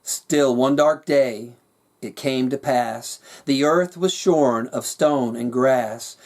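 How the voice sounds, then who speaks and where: A man narrating his own rhyming fantasy story with a measured, dramatic storyteller's delivery. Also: medium pitch, a little mellow. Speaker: author reading their own work.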